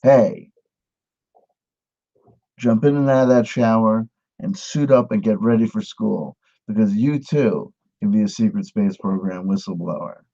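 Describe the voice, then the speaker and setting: A man giving a satirical mock lesson, with a mock-earnest pitchman delivery. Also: unhurried, vocal fry, warm tone, dull audio. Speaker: mock instructor. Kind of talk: satirical monologue.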